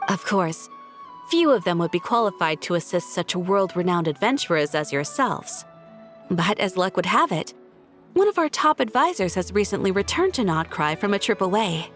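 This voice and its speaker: A woman voicing a polite adult female guild receptionist in a video game, speaking in a formal, measured and courteous way. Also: mid-range voice, big pitch swings, a bit bright, faint music behind.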